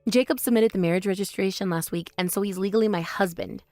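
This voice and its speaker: A woman voicing a calm, composed older sister who speaks in a measured, quietly cutting way in a voice drama. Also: mid-range voice, no echo, studio quality, soft background music, close to an everyday voice. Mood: cool. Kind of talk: voice drama, one performer.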